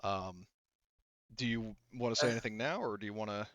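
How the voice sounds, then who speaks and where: Game master, male, smooth and lowish, halting improvised narration, close dry slightly muffled recording. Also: a bit bright, no echo. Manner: halting, conversational.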